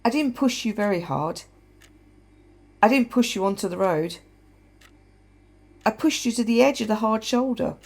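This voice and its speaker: A woman playing a guilt-ridden widow in a radio play monologue, halting, reflective and bitter. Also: fairly high pitch, lively intonation, gravelly. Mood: haunted, guilty. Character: guilt-ridden widow confiding in her dead husband.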